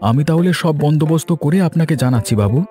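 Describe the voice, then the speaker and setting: A man plays a humble village striver in a cartoon. His voice is fairly high, eager and deferential to his betters. Faint music plays behind him. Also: fast talker, warm tone, lightly acted. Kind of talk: scripted cartoon dialogue.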